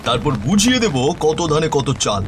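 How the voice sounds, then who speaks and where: Man acting an indignant landowner, animated. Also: fairly high pitch, fairly quick, noisy background. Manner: indignant, emphatic questioning. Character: irritated male city-bred landowner, worried and suspicious. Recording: music bed behind voice.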